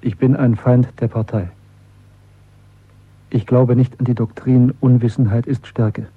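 An actor playing an earnest, cautious male clerk in a radio drama, with a husky voice and a reserved, correct way of speaking. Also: mid-range voice, flat delivery, a little slow, no echo, narrow, tinny audio. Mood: tense, confessional. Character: earnest, cautious low-ranking party clerk, secretly rebellious man.

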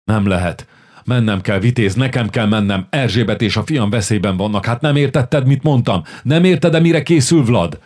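A man's voice: low voice, expressive. A man voicing a fiery, desperate middle-aged warlord who pleads and then defies.

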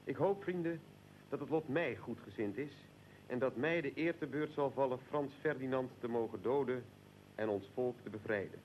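A man playing a fervent, solemn nationalist conspirator leader in a radio drama, speaking in a deliberate, emphatic, oratorical way. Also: mid-range voice, a little mellow, a little hiss or hum, natural voice, poor audio. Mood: determined.

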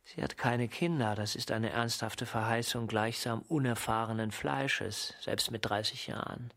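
An actor playing a brooding middle-aged writer, lovestruck yet self-doubting, in an introspective radio drama monologue. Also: male voice, medium pitch, unhurried. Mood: wry musing. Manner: introspective, musing interior monologue. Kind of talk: literary radio drama acting. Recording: loud music under the voice. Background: music.

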